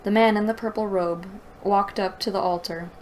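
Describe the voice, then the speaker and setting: A woman's voice: medium pitch, fairly even intonation, normal speed, darkish tone, slight background noise. A woman reading a story aloud and breaking in with sarcastic, exasperated commentary. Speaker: host reading and critiquing a fantasy novel aloud.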